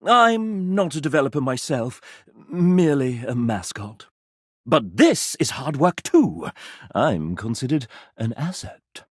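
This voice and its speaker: An actor voicing a sassy, vain gentleman mascot in a video game, theatrical and pompous, with flamboyant old-fashioned phrasing and a husky edge. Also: medium pitch, sing-song, slow pace, no room sound, clean studio sound, full character voice. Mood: smug, cheeky. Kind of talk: video game character dialogue.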